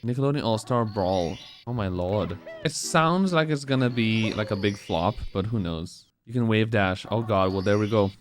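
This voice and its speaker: A man's voice: lowish voice, normal speed, hardly any pauses. A man in his twenties speedrunning on a live stream, rambling casually and answering chat between moves.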